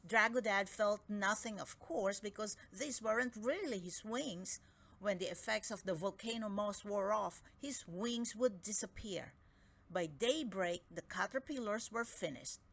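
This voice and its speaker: A woman reading a children's story aloud in an expressive, deliberate storytelling manner. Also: medium pitch, creaky. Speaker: storybook reader.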